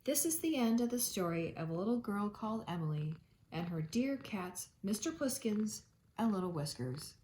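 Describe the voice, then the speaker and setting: A woman teacher reading a children's storybook aloud, with expressive delivery and dramatic emphasis. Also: mid-range voice, moderate pace, a little hiss or hum, poor audio.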